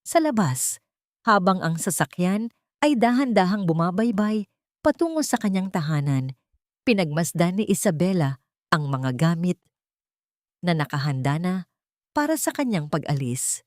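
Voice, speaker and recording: A woman narrating a dramatic audio story in a measured, steady way. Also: fairly low pitch, no room sound, clean studio sound.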